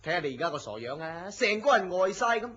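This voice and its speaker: An actor playing a nosy, superstitious office worker in a Hong Kong Cantonese radio drama, gossipy and full of exclamations. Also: male voice, high voice, very animated, fast talker, a bit bright, husky, full character voice. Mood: teasing, nosy. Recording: muffled lo-fi audio with faint noise.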